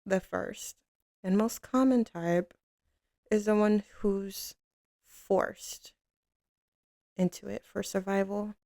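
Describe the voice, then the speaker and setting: A woman acts out a tough female mafia boss in an intimate ASMR roleplay. The voice is full of gravelly fry and takes its time, cool and commanding yet tender toward a lover. It sounds very dry and close, with no room around it. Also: medium pitch, darkish tone, dry recording. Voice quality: gravelly, creaky. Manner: cool, unhurried, commanding drawl with pet names.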